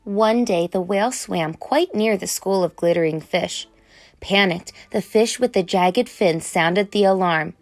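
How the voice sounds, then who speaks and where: A woman reading a children's picture book aloud as an expressive storyteller. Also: mid-range voice, unhurried. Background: music.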